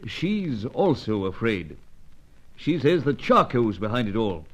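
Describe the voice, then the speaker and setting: A gentlemanly spymaster from a vintage radio serial. His medium-pitched voice moves expressively through grave, formal, old-fashioned sentences. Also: sing-song, unhurried, poor audio. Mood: grave, briefing. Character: grave, gentlemanly British male spy chief.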